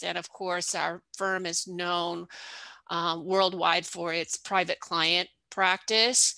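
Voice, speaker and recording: A middle-aged woman lawyer introducing herself on a video call panel, speaking in a measured, deliberate way. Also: on the low side, vocal fry, sharp tone, no room sound, poor audio. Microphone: headset on video call.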